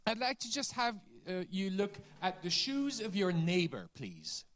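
High-voiced Dutch man lecturing. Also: unhurried. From Netherlands. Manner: lively, quizzes the audience, self-deprecating asides. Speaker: business school dean. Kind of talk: conference talk with audience questions.

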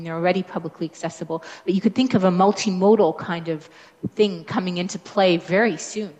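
A Canadian woman speaking on a panel, her voice sitting a little low and carrying a long reverb, as though the room were large. She thinks aloud, pausing on "um" and restarting her sentences. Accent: Canadian English. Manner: thoughtful, many fillers and restarts. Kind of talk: academic panel discussion.

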